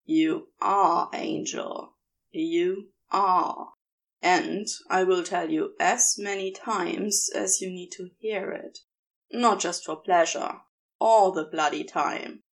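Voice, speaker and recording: Casting: devoted male lover for audio fiction, patient and protective, dropped pitch, firm intimate reassurance woman performs him. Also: fairly even intonation, slow, gravelly, exaggerated voice. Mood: firm, tender.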